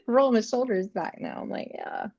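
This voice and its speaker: A woman's voice: a little high, moderate pace, mellow, dry recording, muffled recording. A woman in her forties from South Carolina, a guest chatting casually and laughing often. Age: 40s. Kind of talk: casual chat.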